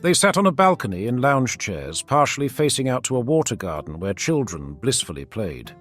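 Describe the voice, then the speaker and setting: A man narrating a scripted fantasy lore story in a dramatic, expressive reading. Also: mid-range voice, a little fast. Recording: faint background music.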